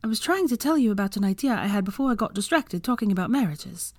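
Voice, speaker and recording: A woman's voice: mid-range voice, lively intonation, quick pace, dry recording, clean studio sound, pitched above the performer's own voice, lightly acted. A woman voicing an earnest, caring and determined young woman in an audiobook story. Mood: confiding.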